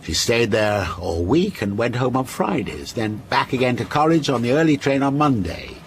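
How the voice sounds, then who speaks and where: Teasing male storyteller, lively pitch. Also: a little hiss or hum. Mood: storytelling. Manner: measured, coy, teasing. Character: genial, teasing, faintly unsettling male storyteller on a train. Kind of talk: radio drama acting, telling a ghost story.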